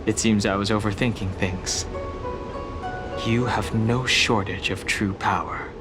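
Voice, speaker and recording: A man's voice: fairly low pitch, steady tone, natural voice. A man voicing a reserved, stoic young dragon warrior and wanderer in a video game. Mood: gently reflective. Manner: reserved, measured. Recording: loud music behind voice.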